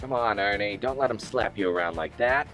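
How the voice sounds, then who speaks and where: A man's voice: lowish voice, brisk, music in the background, natural voice. An actor playing a smug, cruel gang boss in an audio drama, mocking his target with taunting questions. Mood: commanding. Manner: mocking, drawling rhetorical questions.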